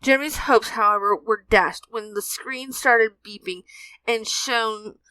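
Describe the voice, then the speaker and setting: Woman narrator, fairly high voice, bright tone, dry recording, scripted storytelling. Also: a little slow, dry recording, poor audio.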